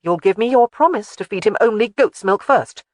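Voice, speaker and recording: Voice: medium pitch, expressive, moderate pace, no echo, clean studio sound, voice pushed up, natural voice. The audiobook narrator voicing a protective young woman who scolds firmly. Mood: scolding. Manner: scolding, firm. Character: fierce, protective young woman caring for a baby.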